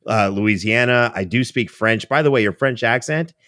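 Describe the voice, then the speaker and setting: A man's voice: mid-range voice, moderate pace, a bit bright, no echo, clean studio sound. A man hosting a show in a chatty, teasing, conversational way. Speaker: podcast host.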